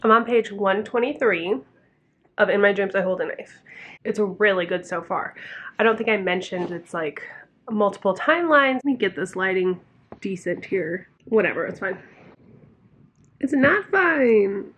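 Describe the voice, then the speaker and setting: A woman's voice: medium pitch, expressive, measured pace, fairly bright tone, breathy edge. Thirtysomething woman rambling about a book into her phone, chatty, swears a bit. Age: 30s. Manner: chatty, frank, swears casually. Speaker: book vlogger. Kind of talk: casual reading vlog chat. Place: hotel room and car, indoors. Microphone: handheld phone camera at arm's length.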